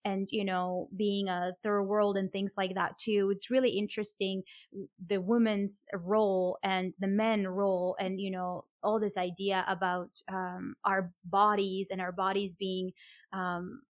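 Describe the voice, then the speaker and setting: A woman's voice: medium pitch, little pitch movement, a little slow, no echo. Colombian-born woman hosting a chat, reflective, lots of um and you know, dead dry mic. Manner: warm, reflective, many fillers and restarts. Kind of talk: conversational interview.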